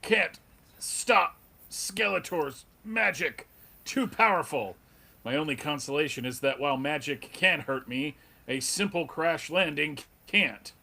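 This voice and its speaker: A man's voice: fairly high pitch, lively intonation, measured pace, gravelly, sharp tone, exaggerated voice. A man playing a friendly, mildly wry superhero in plain everyday speech at a comic book table read. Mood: strained resolve. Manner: plain modern conversational delivery, mildly wry. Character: polite, wry, square-jawed alien superhero in a comic.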